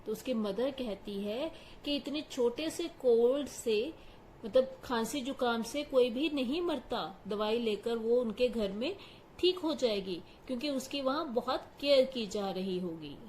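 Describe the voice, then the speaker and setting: Slow, high-voiced woman teacher. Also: expressive, darkish tone, slight background noise. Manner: patient, explains each phrase with Hindi glosses. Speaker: English teacher. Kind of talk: line-by-line class explanation.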